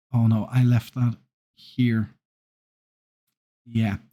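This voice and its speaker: Man, Scandinavian accent with traces of Irish, slow drawl, hesitant, thirties, gameplay commentary. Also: mid-range voice, darkish tone. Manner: hesitant, many ums and restarts, unhurried. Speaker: gaming YouTuber.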